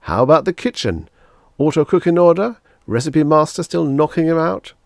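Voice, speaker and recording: A man voicing a tall, imposing, jovial finance agent with effusive, brisk sales patter in an audiobook story. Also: fairly high pitch, expressive, vocal fry, darkish tone, dry recording, studio quality, voice pushed up. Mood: brisk, inquisitive. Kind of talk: audiobook character dialogue.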